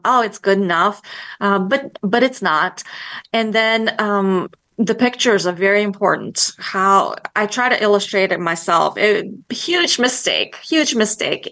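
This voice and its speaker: A woman speaking English as a later language, answering interview questions in an unhurried, halting way. She talks at a middle pitch, full of fillers and restarts. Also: fairly bright tone. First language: not English. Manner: hesitant, many fillers and restarts. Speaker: children's book author, guest.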